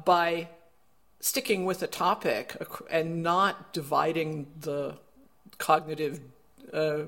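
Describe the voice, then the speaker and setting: A man's voice: fairly high pitch, creaky, fairly bright tone. A man being interviewed as an education expert, explaining in a thoughtful, self-correcting way. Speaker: education researcher guest. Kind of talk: interview answer.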